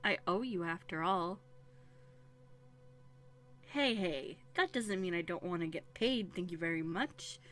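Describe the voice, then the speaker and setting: A woman's voice: fairly low pitch, animated, measured pace, long pauses. A woman playing a young runaway princess in a roleplay audio drama, playful and plucky, with a conversational, teasing manner. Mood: teasing, cheerful. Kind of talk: scripted one-sided roleplay audio drama. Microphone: close USB condenser microphone. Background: soft music.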